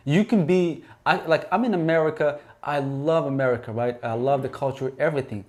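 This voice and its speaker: A Somali-born man around thirty, co-hosting a casual podcast chat, animated and full of restarts. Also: a little high, a little fast. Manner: animated, frequent restarts and "you know what I'm saying". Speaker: podcast co-host. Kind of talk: casual conversation.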